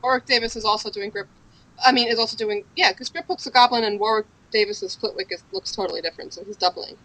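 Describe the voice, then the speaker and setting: A woman's voice: fairly high pitch, fast, fairly bright tone, poor audio. A woman co-hosting a podcast in casual banter, with a wry, teasing way of talking. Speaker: podcast co-host.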